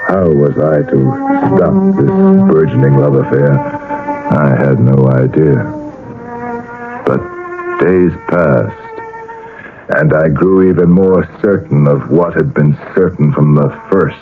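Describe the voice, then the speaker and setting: Low, measured male voice for a radio-play role: obsessive middle-aged father, emphatic, brooding, theatrical. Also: huge pitch swings, narrow, tinny audio, music in the background, exaggerated voice. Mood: brooding narration. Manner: emphatic, dramatic, repeats phrases for weight. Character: possessive, agitated middle-aged father, a successful artist. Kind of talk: 1970s radio drama acting and first-person narration. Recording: noisy, band-limited old radio recording.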